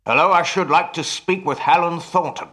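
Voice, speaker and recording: A man playing a grave, earnest stage telepathist in a radio play, with a deliberate, courteous and dramatic manner. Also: on the high side, sharp tone. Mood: urgent, grave.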